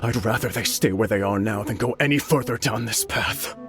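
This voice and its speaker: A humbled sword master from a game, played by a man, talking low and hesitantly, full of remorse. Game music plays loudly behind him. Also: fairly quick, lightly acted. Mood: troubled. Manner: troubled, hesitant, earnest.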